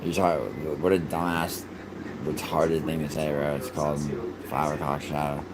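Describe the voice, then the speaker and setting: Low-voiced man, profane streamer. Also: moderate pace, background noise. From New York City. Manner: profane, excitable outbursts between mumbled filler. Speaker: live streamer. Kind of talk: casual live stream chat, reacting to soccer and reading chat.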